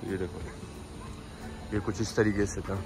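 A man's voice: sits low, level tone, measured pace, background noise. A man in his forties chats casually as a travel vlogger, filming himself on a phone outdoors at a hillside camp and pointing things out to his viewers. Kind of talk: casual vlog narration. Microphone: phone camera selfie.

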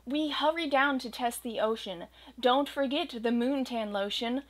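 A young woman with a slightly high voice reads a rhyming picture book aloud. Her delivery is gentle and expressive, following the verse's bouncing rhythm. She is recorded close to the camera in a small room at home. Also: a little slow. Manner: gentle, expressive storytelling cadence. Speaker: children's book reader. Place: small home room with bookshelves and green screen.

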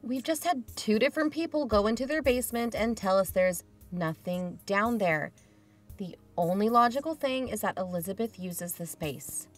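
A woman's voice: mid-range voice, normal speed, faint music behind, lightly acted. A woman plays a level-headed female investigator in a podcast drama. She sounds pragmatic and matter-of-fact, in a mid-range voice. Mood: weary, insistent. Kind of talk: audio fiction podcast acting.

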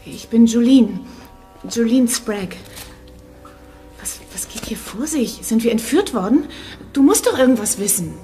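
A woman's voice: on the high side, expressive, unhurried, pauses often, mellow, soft background music. An actress playing a confused, wary young woman held captive in a radio play, guarded and insistent. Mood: anxious. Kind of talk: German radio play acting.

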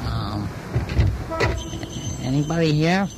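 An actor playing a lonely, timid mailman of about thirty in a radio drama, meek and halting. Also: on the high side, animated, heavy background noise. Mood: meek, downcast. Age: around 30.

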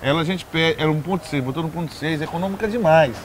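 Um lojista de meia-idade com sotaque mineiro e voz um tanto aguda. Lista modelos e preços sem pressa. Gravado na rua, com ruído urbano marcado por trás. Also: male voice, on the high side, fast, noisy background. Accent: Brazilian Portuguese, Minas Gerais. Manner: relaxed, plain listing of prices. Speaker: car dealer. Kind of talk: sales pitch. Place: outdoors at car lot.

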